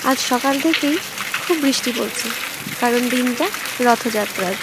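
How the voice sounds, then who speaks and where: A woman's voice: a little high, normal speed, very noisy. A woman narrating a vlog in a scripted style, as its host. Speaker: vlogger. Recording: loud music over the voice. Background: loud music.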